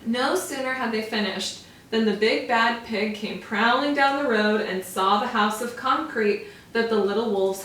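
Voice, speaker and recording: A woman's voice: on the high side, fairly bright tone, slight background noise. A woman teacher reading a picture book aloud to young children, stopping to explain words. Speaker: first grade teacher. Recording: echoey room, mic far off.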